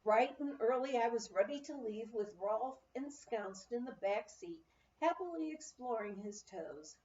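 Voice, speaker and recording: Lady reading a story out loud, highish voice, kinda muffled echoey recording. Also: animated, a little slow, recorded from a distance. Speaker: audiobook reader. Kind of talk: expressive memoir narration, reading aloud. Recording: muffled, roomy, off-mic amateur recording.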